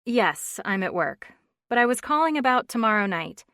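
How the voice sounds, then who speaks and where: A woman's voice: a little high, very animated, normal speed, fairly bright tone, dry recording, voice pushed up. A woman voicing a frustrated woman in her thirties, wry and exasperated, in a dry, close reading. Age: 30s.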